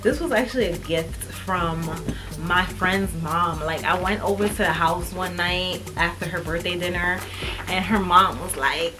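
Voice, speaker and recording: A woman's voice: lowish voice, unhurried, music in the background, poor audio. Chatty young woman doing a fashion haul. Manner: chatty, emphatic, addresses viewers as y'all. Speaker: fashion vlogger. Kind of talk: casual try-on haul chat. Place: indoors in front of a painted wood backdrop.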